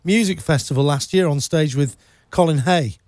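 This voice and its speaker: A male radio host interviewing a guest in a studio, easygoing and polite, a little halting. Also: on the high side, darkish tone, dry recording. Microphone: studio microphone.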